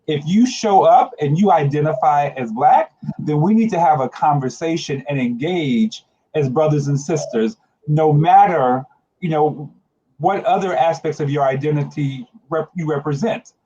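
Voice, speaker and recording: A man in his late forties talks in a reflective, emphatic way, as a guest in an earnest discussion. His voice is warm and relaxed with a husky edge. The recording is a little dull and lo-fi. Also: a little high, normal speed. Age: late 40s. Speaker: guest, HIV organization leader. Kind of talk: earnest conversational panel discussion.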